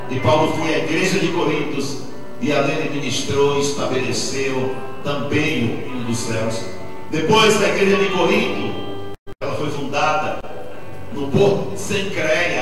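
Fervent preacher in an echoey church. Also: male voice. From Brazil. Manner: fervent, emphatic preaching, pitch surging on key words. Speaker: apostle preaching at a vigil. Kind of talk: Pentecostal sermon with offering appeal. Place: large church hall, indoors. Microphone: handheld microphone. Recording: echoey church hall, distant mic, music behind.

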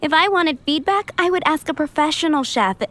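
A woman's voice: high-pitched, a little fast, fairly bright tone. A woman voicing a proud, opinionated village cook in a video game, speaking in an emphatic, haughty manner. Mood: proud, dismissive. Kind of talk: English video game voice acting.

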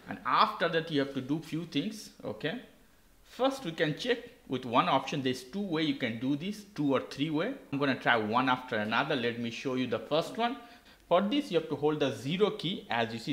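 A man's voice: fairly high pitch, fairly bright tone, roomy sound. A man hosting a tech tutorial, walking through a laptop reset step by step in simple, non-native English. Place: indoor desk.

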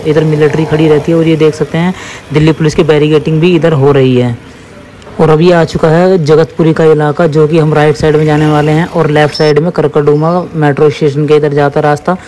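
A man's voice: fairly high pitch, mellow, poor audio. A young man from India giving a chatty running commentary while riding a motorbike through city traffic. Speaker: motovlogger. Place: on a motorbike on city roads outdoors. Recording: faint traffic noise behind. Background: traffic and engine sounds.

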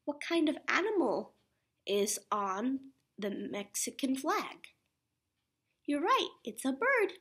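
A young woman teacher reading a children's picture book aloud in an animated, instructive way. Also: high voice, slow, pauses often. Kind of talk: children's picture-book read-aloud with sing-song refrains. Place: small indoor classroom.

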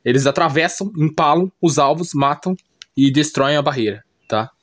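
Male, Brazilian accent, slightly high voice. Informal YouTube recap narrator, improvised, repetitive, peppered with short check-in tags. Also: moderate pace. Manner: repetitive, often checks listener understanding with short tag questions. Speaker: YouTuber recapping anime and manga. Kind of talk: casual unscripted plot explanation.

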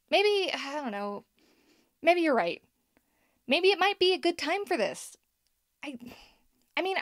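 An actress playing a young podcaster and scriptwriter, earnest and unsure of herself, talking in a conversational, hesitant way in a naturalistic audio drama. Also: female voice, extremely high pitch, a bit bright, no echo, studio quality, heavily acted. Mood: flustered protest. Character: Helena, young woman podcaster and scriptwriter, self-doubting, earnest.

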